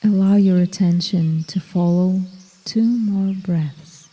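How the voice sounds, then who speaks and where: Slow, soothing woman guiding meditation. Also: on the low side, long reverb tail. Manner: slow, soft and soothing, with long pauses. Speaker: meditation guide. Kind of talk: guided meditation.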